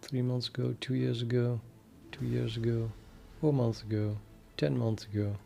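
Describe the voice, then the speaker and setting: Gravelly Dutch-accented man thinking aloud. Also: medium pitch, flat delivery, mellow, faint music behind. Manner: thinking aloud, halting with many fillers. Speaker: programming livestreamer. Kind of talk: casual live-stream chat.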